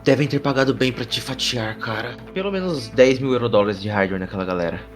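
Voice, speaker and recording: A man's voice: medium pitch, fairly quick, soft background music, natural voice. A man with a Brazilian accent playing a streetwise, cynical media reporter in a cyberpunk audio drama, blunt and slangy.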